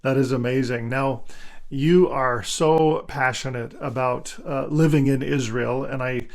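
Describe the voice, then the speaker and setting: Man, podcast host, gravelly, friendly, upbeat, mid pitch. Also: steady tone, a little slow. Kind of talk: podcast interview.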